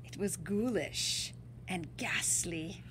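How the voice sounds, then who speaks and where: A woman's voice: on the low side, takes it slowly, a bit bright, a little hiss or hum. A woman reading a children's picture book aloud like a teacher, drawing out words for dramatic emphasis. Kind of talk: expressive storybook read-aloud.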